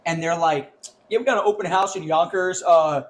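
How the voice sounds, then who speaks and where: A man's voice: fairly high pitch, normal speed. A young man from New York on a casual podcast, ranting animatedly about money and swearing often. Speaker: podcast co-host.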